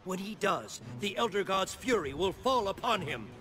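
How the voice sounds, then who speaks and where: An actor playing a solemn thunder god, desperate to protect Earth, in a formal, declamatory video game style. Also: male voice, high voice, expressive, normal speed. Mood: urgent, commanding. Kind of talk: dramatic video game cutscene acting. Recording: game cutscene with music underneath.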